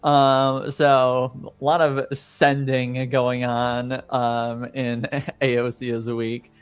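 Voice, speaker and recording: Clear-voiced man running a tabletop game. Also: mid-range voice, monotone, slow pace, no room sound. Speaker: game master. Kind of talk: improvised tabletop game narration.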